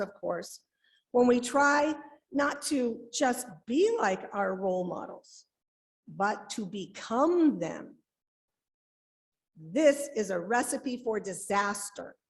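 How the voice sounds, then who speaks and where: Slow, higher-voiced woman reading a sermon. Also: expressive, a little mellow. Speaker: lay reader delivering a written sermon. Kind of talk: sermon read aloud from a script.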